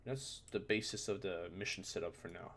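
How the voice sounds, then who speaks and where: A man who is a non-native English speaker, presenting a casual, unscripted software tutorial in an informal, self-deprecating way with muttered asides. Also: deep voice, moderate pace, a little hiss or hum. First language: not English.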